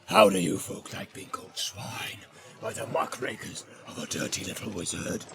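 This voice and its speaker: A man's voice: low voice, fairly even intonation, moderate pace, vocal fry, voice pushed down, full character voice. Grishnak, a man hissing as a sly orc. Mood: sneering. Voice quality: soft, sneering, whispery. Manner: scheming hiss. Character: sly male orc messenger, soft-voiced and evil.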